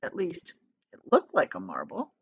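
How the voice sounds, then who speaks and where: A woman's voice: low voice, huge pitch swings, moderate pace, vocal fry, husky, lo-fi sound. A woman reading a children's story aloud like a teacher, stressing descriptive words dramatically and adding casual asides.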